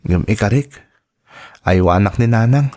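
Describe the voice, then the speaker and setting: A woman's voice: rumbling low, animated, measured pace, dark tone. A woman with an Ilocano Filipino accent playing a dutiful, anxious student in an audio drama. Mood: sad, worried. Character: dutiful, anxious student child devoted to struggling parents.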